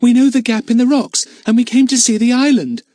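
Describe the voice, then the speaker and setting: A man's voice: very high voice, steady tone, a little fast, mellow, no echo, studio quality, voice pushed up. A man voicing an indignant little girl in a storybook.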